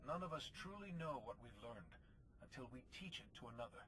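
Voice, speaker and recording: An actor playing a steady, reassuring Jedi commander in a video game, speaking in a composed, earnest manner. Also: male voice, mid-range voice, fairly quick, soft background music, rough recording. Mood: composed, assured. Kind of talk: video game cutscene acting.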